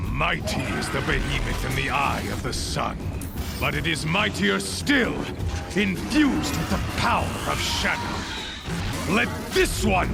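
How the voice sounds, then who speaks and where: A man playing a fanatical high priest in a video game, proclaiming to his faithful with grandiose, theatrical fervour. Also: vocal fry, full character voice. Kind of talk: video game cutscene oratory. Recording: voice under loud music.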